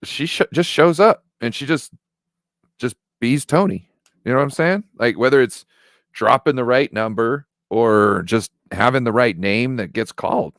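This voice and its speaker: Give this voice to a male sports fan co-host with a mid-range pitch. He talks in loose, informal banter full of slang and little check-in phrases. The sound is close and dry. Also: animated, normal speed, gravelly, fairly bright tone, no echo.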